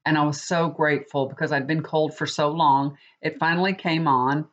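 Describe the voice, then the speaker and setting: A woman's voice: low-pitched, fairly even intonation, normal speed. Middle-aged woman, sniffly with a cold, rambling casual vlog chat, dull lo-fi sound. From Texas. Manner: chatty, rambling, with many restarts. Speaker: tarot reader. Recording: dull lo-fi recording.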